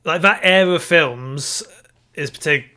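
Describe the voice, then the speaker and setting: A man's voice: fairly high pitch, unhurried, sharp tone. A man co-hosting a podcast, chatting casually about films and games. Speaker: podcast co-host.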